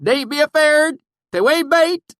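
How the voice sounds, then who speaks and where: A man voicing a wary, weathered old swineherd in an audiobook, with a thick rural dialect and a loud, hearty manner. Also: lively intonation, normal speed, no echo, clean studio sound. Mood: wary shouting. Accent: thick rural backwoods dialect. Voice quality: shouting, hearty, high-pitched. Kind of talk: audiobook character voice.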